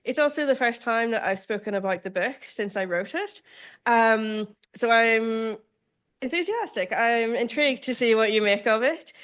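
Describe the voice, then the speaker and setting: A middle-aged woman with a Northern Irish accent, giving an academic lecture as guest speaker in a measured, self-deprecating way. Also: fairly high pitch, animated, a bit bright. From Northern Ireland. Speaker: guest speaker, law professor.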